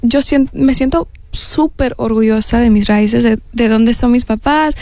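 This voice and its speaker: A young woman from the United States, a singer guest on a radio show, speaking softly and reflectively in Spanish with a hard-to-place Latin American accent and frequent restarts. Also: fairly high pitch, unhurried, no echo. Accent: mixed Latin American Spanish. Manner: soft-spoken, reflective, hesitant restarts. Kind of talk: radio interview.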